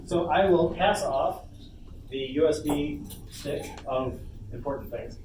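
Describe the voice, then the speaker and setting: A man hosting an informal convention workshop, speaking casually with hesitant pauses as he explains things to the room. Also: on the high side, lively intonation. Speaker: panel host and presenter. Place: indoor convention panel room. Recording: roomy, distant mic, noisy convention room.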